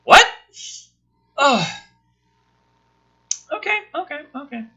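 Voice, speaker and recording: A man's voice: very high-pitched, big pitch swings, takes it slowly, sharp tone. A man reading a script aloud with sarcastic, exasperated, foul-mouthed commentary as the host.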